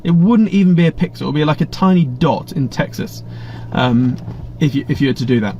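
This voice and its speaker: A man's voice: a little high, animated, brisk, warm tone, slight background noise. English man, live stream host, rambling casual chat, blunt asides, slightly muffled audio. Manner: chatty and rambling, frequent restarts and blunt asides. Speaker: livestream host. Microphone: webcam setup.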